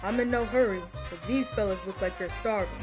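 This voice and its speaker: A woman's voice: mid-range voice, a little fast, natural voice, low-quality recording. A woman acting in an old-time radio play. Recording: school radio play with music behind.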